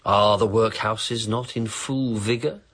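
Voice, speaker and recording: A man playing a harsh, miserly old moneylender with a raspy, barking voice in an amateur radio play. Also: lowish voice, steady tone, measured pace, fairly bright tone. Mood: gruff. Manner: gruff, bellowing orders.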